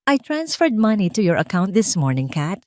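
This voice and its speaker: A woman's voice: mid-range voice, big pitch swings, normal speed, darkish tone, no echo, natural voice. A woman acts out a weary billionaire husband in an audio drama. He sounds cold, detached and dismissive, as if worn out, in a dry close recording. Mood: flat, cold. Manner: tired, dismissive.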